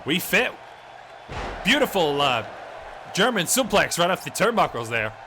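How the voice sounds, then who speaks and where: A man's voice: sits high, very animated, a little slow, background noise, voice pushed up. A man playing a crude, swearing Australian wrestling commentator who rambles in a blokey way over a video game match. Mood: crude banter.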